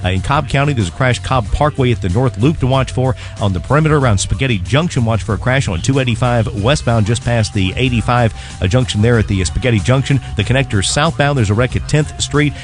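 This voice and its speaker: A man's voice: medium pitch, fast talker, hardly any pauses. A man giving a live radio traffic report in an informal, conversational way. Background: light music bed.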